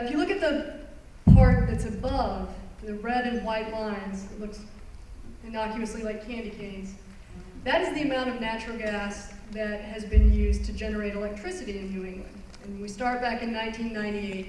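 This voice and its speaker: A woman giving a public presentation, explaining her subject earnestly and pausing on phrases for emphasis. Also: fairly high pitch, a little mellow, slight background noise. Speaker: environmental lawyer giving a talk. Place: conference hall. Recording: roomy hall recorded from far off.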